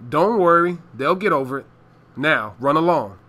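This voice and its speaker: A man's voice: on the high side, lively intonation, normal speed, noisy background, natural voice. A man playing a matter-of-fact, dismissive father in a radio play.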